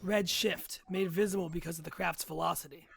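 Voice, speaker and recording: A man's voice: high voice, fairly even intonation, fairly quick, creaky, a bit bright. A man reading scene directions from a sci-fi screenplay as narrator at a table read.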